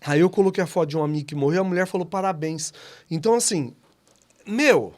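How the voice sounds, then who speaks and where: Congested Brazilian host with a cold. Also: male voice, sits high, quick pace, a little mellow. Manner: chatty, opinionated, jokey asides. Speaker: podcast host. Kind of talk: casual talk show chat.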